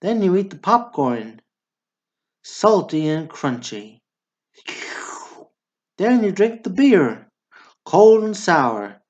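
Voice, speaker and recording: A man's voice: high-pitched, very animated, slow, long pauses, darkish tone, lo-fi sound, heavily acted. A man playing a blunt, bickering small-town Southern brother in a radio drama. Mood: stubborn. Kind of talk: stage comedy dialogue, radio drama acting.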